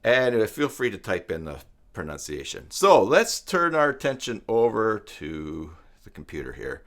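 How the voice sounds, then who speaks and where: A man's voice: fairly low pitch, huge pitch swings, normal speed, fairly bright tone. Man in his fifties, casual tutorial host. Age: 50s. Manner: conversational, rambling with asides. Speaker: host of a tutorial live stream. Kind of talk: casual live-stream tutorial talk.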